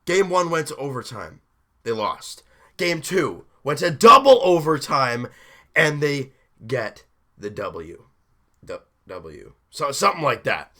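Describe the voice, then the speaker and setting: Hoarse young male fan host, punchy bright delivery, excitable recap. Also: medium pitch, slow. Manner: excited, rambling, self-interrupting. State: hoarse, deep rough voice after a late night. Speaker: hockey fan video host. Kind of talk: casual game recap.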